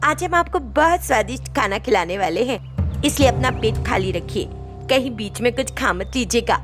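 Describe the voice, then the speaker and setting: A woman's voice: fairly high pitch, expressive, moderate pace, music in the background, lightly acted. A woman voicing a vain young daughter-in-law in a storybook tale, sweetly coaxing, deferential and evasive. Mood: sweet, eager. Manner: deferential, placating. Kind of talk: acted dialogue in a Hindi moral story.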